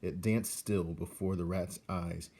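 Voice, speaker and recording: A man narrating a storybook aloud as a teacher would, with deliberate, dramatic phrasing. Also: deep voice, steady tone, warm tone, slight background noise.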